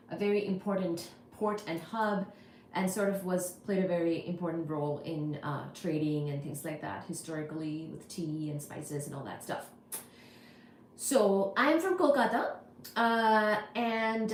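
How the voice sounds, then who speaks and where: Livestream host, woman from India, relaxed filler-heavy chat, roomy sound with faint background noise. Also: mid-range voice. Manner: chatty, practical, many fillers like "you know" and "kinda". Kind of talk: casual livestream chat answering viewers.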